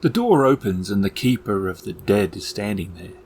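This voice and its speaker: A man's voice: fairly low pitch, lively intonation, moderate pace, darkish tone, slight background noise. A man narrating a tabletop roleplaying game as its game master. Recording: music bed under the voice. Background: music.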